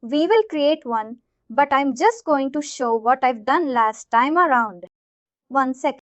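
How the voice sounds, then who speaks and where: A woman working as a software trainer, narrating an informal screen-share tutorial in an explanatory way, often checking in as she goes. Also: high-pitched, animated, unhurried, no echo. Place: voice-over of a screen recording. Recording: dull, lo-fi close dry audio.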